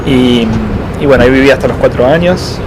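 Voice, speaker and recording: A man, answering interview questions slowly, with frequent fillers and pauses. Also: medium pitch. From Argentina. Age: 30s. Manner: thoughtful, hesitant, many fillers and slow pauses. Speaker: interviewee, doctoral student. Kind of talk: casual interview. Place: outdoors in a city. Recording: outdoor street noise.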